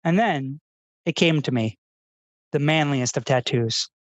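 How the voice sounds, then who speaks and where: A man playing an earnest, insecure public-radio essayist with a precise, soft, overly sincere delivery in a radio comedy. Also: a little high, unhurried, dry recording, close to an everyday voice. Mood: wistful solemn. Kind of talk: confessional public-radio narration parody.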